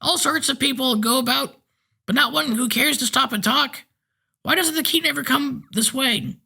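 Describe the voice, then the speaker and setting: A man's voice: very high-pitched, normal speed, vocal fry, bright, forceful tone, slightly rough, voice pushed up, heavily acted. A man reading a story aloud, voicing a frail, cranky eighty-year-old man with a thin, piping voice. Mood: grumbling.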